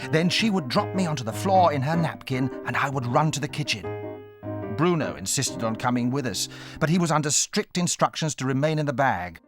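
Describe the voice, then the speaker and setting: A man's voice: mid-range voice, expressive, fairly quick, soft background music, lightly acted. A man as narrator of a dramatized storybook, vividly recalling a boyhood adventure as a mouse. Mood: storytelling.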